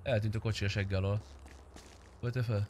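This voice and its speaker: A man's voice: on the low side, little pitch movement, a little mellow, slight background noise. A man talking casually with teammates in an online role-play game, using slang and teasing.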